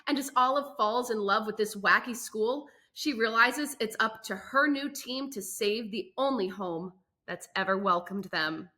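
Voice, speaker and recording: A woman reading a children's book aloud as a host, with expressive, enunciated storytelling and emphatic phrasing. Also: on the high side, a little slow, hardly any pauses.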